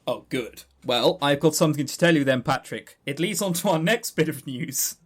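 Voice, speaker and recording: A man's voice: on the high side, lively intonation, normal speed. Southern english guy doing gaming podcast news, swoops all over, sardonic and sweary. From southern England. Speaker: podcast co-host. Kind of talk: casual gaming news chat.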